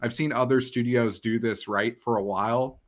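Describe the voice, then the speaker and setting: A man's voice: mid-range voice, steady tone, moderate pace. A man in his thirties talking casually as a podcast host, giving conversational commentary. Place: indoor home room.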